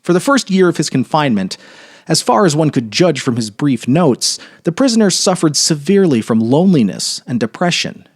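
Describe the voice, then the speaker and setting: A man narrating a short story as a dramatic reading, with theatrical, measured phrasing. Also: on the high side, very animated, slightly rough.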